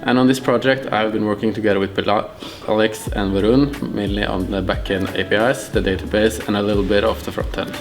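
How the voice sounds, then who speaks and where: A man's voice: on the low side, fairly even intonation, a little fast, hardly any pauses, roomy sound, faint music behind. A man in his twenties presenting his programming work in a straightforward way, with some fillers. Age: 20s. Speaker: programming student. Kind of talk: casual presentation.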